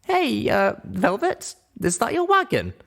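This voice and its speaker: Male narrator as young mare, very high voice, swooping pitch, stubborn, anxious, earnest, storybook heroine. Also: wildly swooping pitch, a little slow, gravelly, a bit bright, heavily acted. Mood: nervous. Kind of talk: audiobook character voice.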